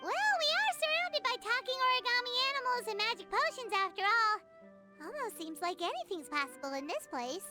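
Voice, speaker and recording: A tiny fairy companion from a video game, with an extremely high, squeaky child's voice. She is chirpy and excitable, her pitch bouncing around, over clearly audible music. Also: moderate pace, full character voice. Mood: curious. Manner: chirpy, speaks of self in third person. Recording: music playing behind the voice.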